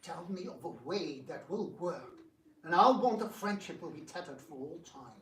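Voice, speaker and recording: A man's voice: fairly high pitch, expressive, normal speed, fairly bright tone, recorded from a distance, a little hiss or hum. Bitter, grumbling prince played by a man, echoey room. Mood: eager for revenge. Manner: exasperated, theatrical complaining. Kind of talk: amateur devotional dramatic reading. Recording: echoey room, picked up from afar.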